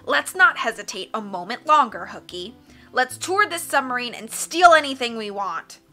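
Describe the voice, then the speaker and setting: A woman's voice: fairly high pitch, animated, normal speed, pushed and bright, soft background music, voice pushed up. A woman voicing a bossy, scheming male villain in a storybook, with a pompous, mocking, sneering delivery.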